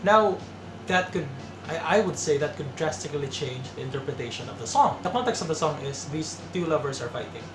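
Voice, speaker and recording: A man's voice: a little high, expressive, a little fast, background noise. A young man from the Philippines whose first language is Waray-Waray, a singer-songwriter speaking in a reflective casual way about songwriting. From Eastern Visayas, Philippines. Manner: reflective, explains with sung examples. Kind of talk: casual talk. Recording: echoey room with guitar music.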